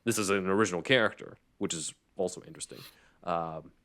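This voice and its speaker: A man's voice: low voice, fast, a bit bright. A man hosting a podcast in a casual, rambling, conversational way. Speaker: podcast host. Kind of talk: casual podcast discussion of a script.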